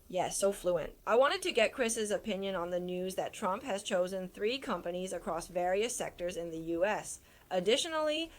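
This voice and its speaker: A woman hosting a finance talk show, chatting in a teasing, conversational way. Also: fairly low pitch. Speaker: host.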